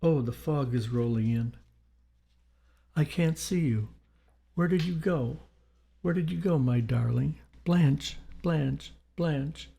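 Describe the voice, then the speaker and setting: A man's voice: medium pitch, unhurried, creaky, dark tone, husky. A man voicing a loving, wistful spirit in an audiobook, speaking with affection and yearning to a beloved. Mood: lost, calling out.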